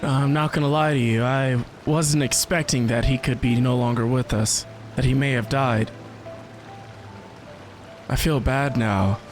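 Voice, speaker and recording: A man gives casual reaction commentary on a video game in a mid-pitched voice. He speaks very slowly, with faint game music behind him. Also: fairly even intonation. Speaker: gaming video host.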